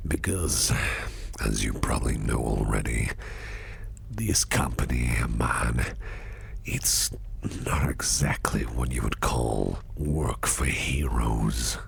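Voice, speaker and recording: A man playing a shady businessman boss in an ASMR roleplay, flirtatious and protective, murmuring in a whispery gravelly voice with slow, teasing deliberation. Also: very deep voice, a little hiss or hum, full character voice. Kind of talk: ASMR roleplay, close personal attention.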